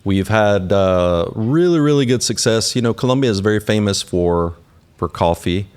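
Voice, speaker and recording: A man with a lowish voice hosts a live event. He talks informally to the audience, in a folksy and conversational manner. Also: lively intonation, moderate pace, darkish tone, clear, resonant tone. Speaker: podcast host.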